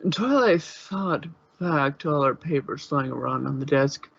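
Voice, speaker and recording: A man's voice: on the high side, lively intonation, unhurried, creaky, a bit bright, slightly rough, no room sound, muffled recording. A man narrating fan fiction in an informal amateur style, occasionally stumbling over words.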